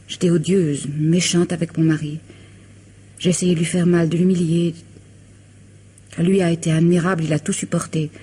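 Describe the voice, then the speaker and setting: A woman's voice: fairly low pitch, mellow, slightly rough. An actress playing a distressed, evasive married woman under questioning in a radio mystery drama, melodramatic and defensive.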